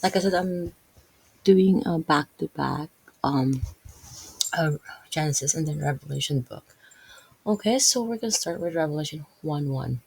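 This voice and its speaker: A woman's voice: low-pitched, animated, moderate pace, creaky, long pauses, a little mellow, husky, dry recording, rough recording. A woman from the Philippines with a Filipino accent, reading Bible verses and explaining them in a casual, rambling way full of fillers and restarts. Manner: rambling, many fillers and self-corrections. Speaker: Bible video host.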